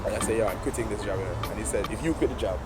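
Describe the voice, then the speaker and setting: A Jamaican man in his thirties, a guest sharing his life story in a casual interview, animated and laughing often. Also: fairly low pitch, racing, over music, rough recording.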